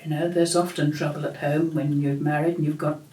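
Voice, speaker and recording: An older woman host recalling family memories in a slow, reflective storytelling manner, with frequent pauses and fillers. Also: deep voice, flat delivery, warm tone. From England. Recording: echoey room.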